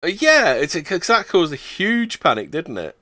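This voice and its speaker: A man's voice: sits high, big pitch swings, moderate pace, bright, forceful tone. A man in casual, bantering conversation as a podcast co-host, often questioning and joking.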